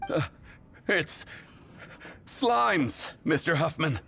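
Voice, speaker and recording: An actor playing a panicky, deferential low-ranking male guard in a video game, urgent and halting as he reports. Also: expressive, vocal fry, pushed and bright, soft background music, full character voice. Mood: panicked.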